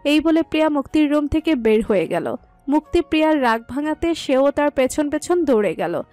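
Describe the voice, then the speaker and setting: A woman narrates a scripted romantic story in a high voice. Soft background music runs under her reading. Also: big pitch swings, moderate pace.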